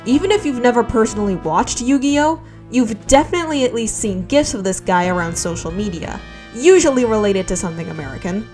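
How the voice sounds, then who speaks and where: A woman with a fairly high voice narrates a scripted video essay. She is wry and conversational, slipping in asides, with light music underneath. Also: lively intonation, fairly quick, darkish tone. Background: background music.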